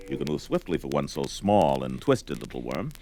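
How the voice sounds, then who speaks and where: An actor playing a brawny, defiant barbarian warrior in a 1970s audio drama, taunting in grandiose archaic speech. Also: male voice, extremely low pitch, heavily acted, rough recording.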